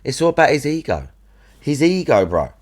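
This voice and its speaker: A man, a streamer chatting loudly and bluntly, mocking and swearing while reacting to another stream. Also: mid-range voice, big pitch swings, moderate pace. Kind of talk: casual swearing live-stream chat.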